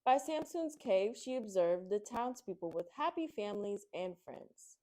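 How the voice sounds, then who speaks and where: Female children's storytime host, medium pitch, expressive picture-book delivery, friendly direct address. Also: normal speed. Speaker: children's read-aloud host.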